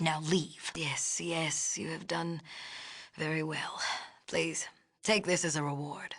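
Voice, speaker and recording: A woman's voice: low voice, a bit bright, slightly rough, heavily acted. An actress playing a stern, haughty female leader of an isolationist city in a video game, speaking in a formal, clipped, imperious way. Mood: cold, disdainful. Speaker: game character voice actor. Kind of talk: scripted video game dialogue acting.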